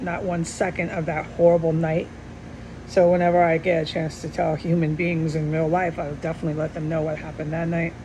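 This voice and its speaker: A middle-aged woman giving a rambling, earnest personal monologue with insistent, repeated emphasis and a gravelly voice. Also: rough recording. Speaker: vlogger. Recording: steady background hum and rumble.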